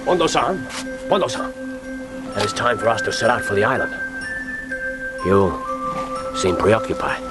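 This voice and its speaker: An actor playing a jovial, ruthless spymaster with an expansive, commanding, teasing manner in a radio drama. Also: male voice, lowish voice, lively intonation, normal speed, long pauses, over loud music, natural voice. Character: jovial, ruthless Japanese male spymaster briefing an agent. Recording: orchestral film score behind the voice.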